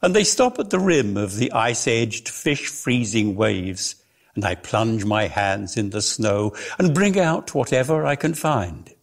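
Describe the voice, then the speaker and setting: A man giving a dramatic reading of a literary story in a measured, theatrical way, lingering on his words. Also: on the low side, sing-song, gravelly. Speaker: reader.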